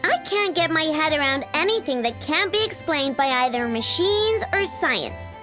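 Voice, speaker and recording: An excitable young girl inventor from a video game, with a very high, childlike voice. Her delivery swings up and down in a lively way, perky and proudly boastful. Music plays behind her. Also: normal speed. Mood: casual, skeptical. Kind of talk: animated video game voice acting.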